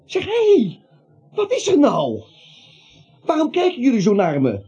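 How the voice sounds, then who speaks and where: An actor playing a nervous, bewildered everyman in a Dutch radio play, stammering and pleading in a husky voice. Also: very high voice, huge pitch swings, unhurried, warm tone, full character voice. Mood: anxious, protesting. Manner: stammering, pleading, repeats himself. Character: nervous, bewildered, neutral everyman protesting his innocence. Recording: muffled, dull radio play recording.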